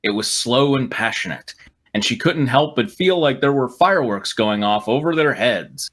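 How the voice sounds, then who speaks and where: A man reads a story aloud with a very animated, swooping pitch. He keeps stepping out of the text to make sarcastic, irreverent comments in a medium-pitched voice. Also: normal speed. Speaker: host and reader on a fan fiction riffing show. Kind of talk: reading a story aloud with mocking asides.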